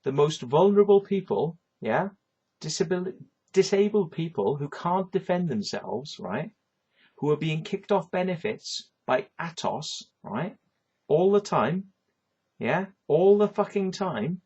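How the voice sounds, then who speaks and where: A man's voice: a little high, lively intonation, unhurried, a little mellow, husky, highs cut off. A man with a Welsh accent delivering a rambling, swearing rant, tagging lines with "yeah" and "right". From Wales. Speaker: vlogger. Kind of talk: ranting monologue to camera.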